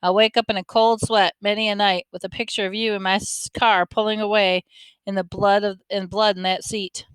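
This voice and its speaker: A woman's voice: medium pitch, little pitch movement, brisk, smooth, no echo, natural voice, poor audio. A woman reading the part of a friendly, teasing older man, a grandfather and former soldier, in a folksy, joking way. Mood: earnest.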